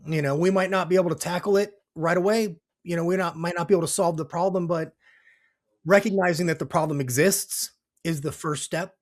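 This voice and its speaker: A man's voice: a little high, steady tone, a little fast. A man hosting a podcast in an earnest, conversational style, with a slightly scratchy voice and an emphatic way of stacking repeated phrases. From United States. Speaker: podcast host.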